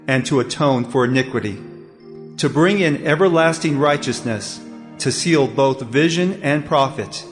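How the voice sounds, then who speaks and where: A man's voice: medium pitch, close to an everyday voice. Man voicing a solemn angel in cavernous reverb. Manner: solemn, proclamatory. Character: male angel Gabriel, solemn heavenly messenger delivering prophecy. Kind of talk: dramatized audio Bible acting. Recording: long hall reverb, faint music.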